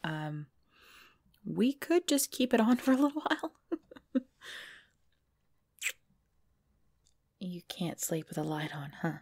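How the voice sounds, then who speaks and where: A woman playing a cute, easily scared girlfriend in an intimate ASMR roleplay, pleading and whiny, often hushed. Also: lowish voice, big pitch swings, moderate pace, slightly rough, heavily acted. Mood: coaxing. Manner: pleading, whiny, dropping into hushed self-talk. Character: cute, jumpy girlfriend frightened by scary movies. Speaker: roleplay audio performer.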